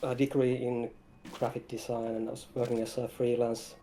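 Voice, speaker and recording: Man, flat intonation, medium pitch, halting phrases, developer interview, music behind. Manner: hesitant, broken phrasing. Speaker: game developer interview guest. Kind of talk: casual livestream interview.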